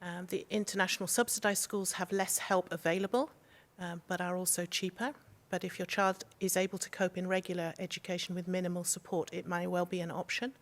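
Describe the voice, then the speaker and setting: Woman expert on a panel, low voice, calm explanatory delivery. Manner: measured, explanatory, steady. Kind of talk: informative panel answers.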